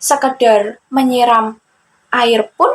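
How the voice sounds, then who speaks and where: A woman's voice: fairly high pitch, steady tone, slow pace, slight background noise, poor audio. A young adult woman narrating a children's fable, speaking directly to her listeners as a storyteller.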